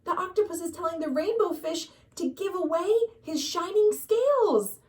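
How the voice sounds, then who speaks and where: A woman reading a picture book aloud to children as a storytime host, expressive and deliberate. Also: extremely high pitch, recorded from a distance. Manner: dramatic, deliberate, addresses young listeners. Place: at home.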